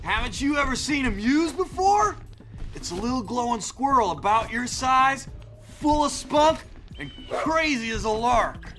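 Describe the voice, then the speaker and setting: A spacey, laid-back sculptor drawls slowly with a sing-song lilt. Clear game music plays underneath. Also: male voice, very high voice, animated, a bit bright, exaggerated voice. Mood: dreamy. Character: laid-back male sculptor, dreamy surfer-dude type. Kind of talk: video game cutscene acting.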